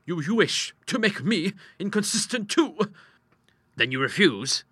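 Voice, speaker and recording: A man voicing a middle-aged gentleman doctor in an audiobook, an indignant father who speaks in a pompous, formal, emphatic way. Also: high voice, big pitch swings, measured pace, slightly rough, no echo, clean studio sound, pitched above the performer's own voice, heavily acted. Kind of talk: audiobook character acting.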